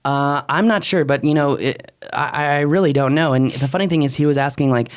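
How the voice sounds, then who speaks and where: A man's voice: on the high side, brisk, clear, resonant tone. Blunt, casual man co-hosting a podcast. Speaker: podcast co-host and drone expert. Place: small wood-panelled podcast room. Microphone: close studio mic on boom arm.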